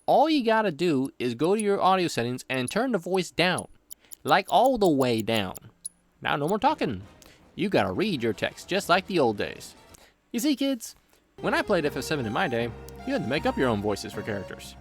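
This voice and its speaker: A man's voice: fairly high pitch, sing-song, normal speed. A man narrating a scripted video game review with playful, joking asides. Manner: playful, jokey. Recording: background music under voice. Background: music.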